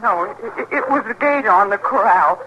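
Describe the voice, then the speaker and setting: A woman's voice: mid-range voice, animated, normal speed, background noise, natural voice. A woman acting a timid, frightened frontier wife in a radio western, hesitant, stammering and pleading. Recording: thin, muffled old radio recording.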